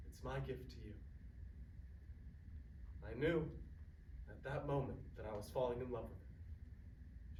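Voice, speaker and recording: Man playing a gentle grieving grandfather, echoey and distant. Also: fairly high pitch, natural voice. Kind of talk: staged play reading. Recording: echoey room, distant mic, faint noise.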